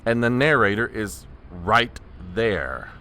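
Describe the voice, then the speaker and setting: A man's voice: lowish voice, sing-song, takes it slowly, bright, forceful tone, exaggerated voice. A man playing a flustered, proper chief of staff in a comedy audio drama, formal and prone to nervous stammering. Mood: exasperated.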